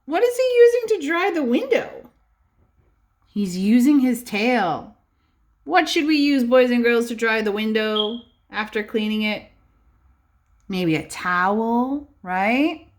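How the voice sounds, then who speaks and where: A woman teacher reading a picture book aloud to young children in an expressive, instructive way, often pausing to ask them questions. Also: fairly high pitch.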